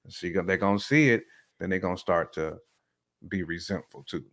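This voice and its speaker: A man's voice: low-pitched, brisk, fairly bright tone. A man chatting casually and with firm opinions about relationships, repeating phrases for emphasis.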